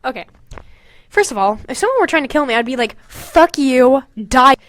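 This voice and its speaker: A young woman hosting a podcast, casually reading aloud and mocking her own writing in an animated, sweary, giggly way. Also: high voice, normal speed, dry recording. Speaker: podcast host. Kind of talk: casual comedic commentary while reading own old fanfiction aloud.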